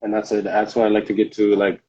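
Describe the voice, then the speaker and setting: Low-voiced male host on a dull line. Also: monotone, fast, darkish tone, a little hiss or hum. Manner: brief affirming interjections. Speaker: interview host.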